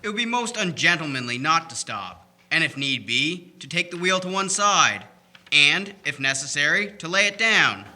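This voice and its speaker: A man acting a very bright, gentlemanly cyclist. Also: on the high side, animated, moderate pace. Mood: courteous. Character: proper, gentlemanly 1890s wheelman. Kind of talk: radio drama acting.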